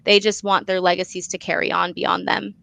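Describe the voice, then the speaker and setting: A woman's voice: fairly low pitch, level tone, fairly quick, creaky, pushed and bright, no room sound. A young woman talking as an interview guest about her creative work. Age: young adult. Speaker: guest, playwright.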